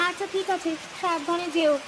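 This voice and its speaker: High-pitched caring village wife. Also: female voice, steady tone, a little fast, noisy background. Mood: affectionate. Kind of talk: cartoon voice acting.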